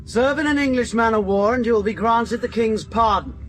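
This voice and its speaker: An actor playing an imperious, harsh British prison officer in a radio drama, proclaiming commands loudly. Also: male voice, very high-pitched, fairly quick, background noise. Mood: commanding.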